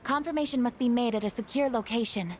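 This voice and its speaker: A woman's voice: on the high side, fast talker, a little hiss or hum, lightly acted. A woman voicing a devoted female android in a video game, speaking in formal, stilted, literal phrases. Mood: earnest, resolute. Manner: formal, stilted, robotic delivery.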